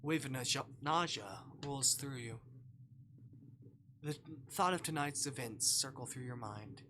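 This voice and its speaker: A man's voice: mid-range voice, unhurried, background noise. A man who streams games, reading a visual novel aloud in a dramatic narrating manner. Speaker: game streamer.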